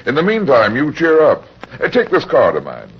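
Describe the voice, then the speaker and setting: An actor playing a kindly, wry, streetwise investigator in an old-time radio detective drama. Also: male voice, on the high side, wildly swooping pitch, normal speed, husky, heavily acted. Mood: reassuring. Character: kindly, wry male hard-boiled investigator narrating his case. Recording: muffled, noisy old radio recording.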